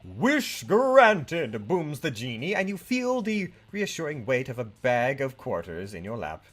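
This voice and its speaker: A man's voice: medium pitch, wildly swooping pitch, unhurried, fairly bright tone, soft background music. A young man playing a video game with friends, rambling unsurely and reading game text aloud. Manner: rambling, unsure, laughs a lot. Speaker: let's play host.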